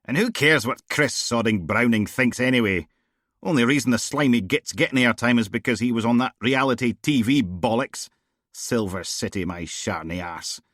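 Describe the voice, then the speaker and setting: A man voicing a brash, crude middle-aged woman who runs a police team, loud and mocking. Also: medium pitch, moderate pace, no echo, clean studio sound, voice pushed up, natural voice. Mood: ranting.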